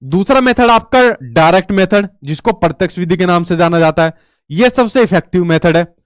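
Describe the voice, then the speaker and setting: High-voiced chatty male teacher. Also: animated, fairly quick, dry recording, studio quality. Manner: chatty, asks rhetorical questions, tells stories. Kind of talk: exam-prep lecture.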